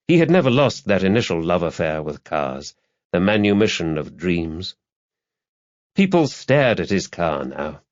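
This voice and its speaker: A man's voice: low voice, no echo. A man reading a crime thriller novel aloud as a scripted audiobook narration. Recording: dull, lo-fi, dry audiobook recording.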